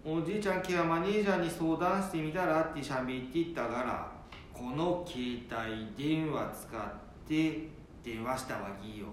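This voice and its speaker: A man's voice: moderate pace, natural voice. An actor playing a blunt old grandfather with a Nanbu dialect from northern Japan in an amateur radio drama. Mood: explaining. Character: blunt, sturdy old Japanese grandfather worried for his son. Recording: very echoey room, faint rumbling noise.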